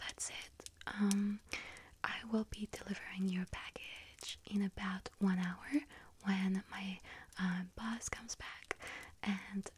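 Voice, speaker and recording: A woman doing a whispered ASMR role-play as a friendly young bakery witch, speaking softly and gently with a breathy, hesitant delivery. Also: medium pitch, a little hiss or hum. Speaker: ASMR performer role-playing a bakery shop helper.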